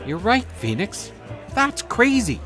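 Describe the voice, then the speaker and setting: An earnest space captain in a sci-fi comedy, voiced by a woman. The voice is medium in pitch and swings expressively, full of incredulous questioning. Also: big pitch swings, slow, a bit bright, faint music behind. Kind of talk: comic sci-fi audio drama acting.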